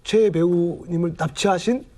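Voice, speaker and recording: A man's voice: high voice, steady tone, moderate pace, darkish tone. A man hosting a talk show with casual, reactive questions.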